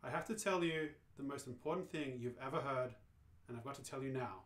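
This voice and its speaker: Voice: mid-range voice, fairly quick, pitched below the performer's own voice, lightly acted. Urgent, cryptic male alien friend for radio comedy, performer acting, dry delivery, roomy sound. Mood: urgent, insistent. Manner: deadpan, insistent. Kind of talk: amateur radio play reading. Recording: roomy, echoing live reading.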